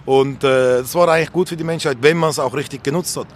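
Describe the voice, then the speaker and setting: A man's voice: medium pitch, fast talker. A man with a Swiss accent reflecting casually on his own life in a candid, colloquial, rambling way. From Switzerland. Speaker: street interviewee. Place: outdoors on a street. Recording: faint outdoor background noise.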